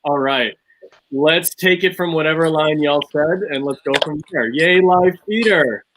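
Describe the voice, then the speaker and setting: A man's voice: on the high side, measured pace, a bit bright. A man chatting casually as he hosts and directs an online play reading. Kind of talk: casual chat. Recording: faintly noisy online call.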